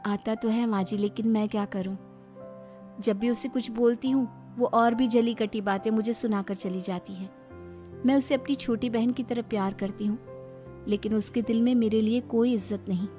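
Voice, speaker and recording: A woman's voice: fairly high pitch, fairly even intonation, moderate pace, warm tone, faint music behind, lightly acted. A woman voicing a patient but slyly sarcastic elder daughter-in-law in a cartoon moral story. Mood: hurt, patient.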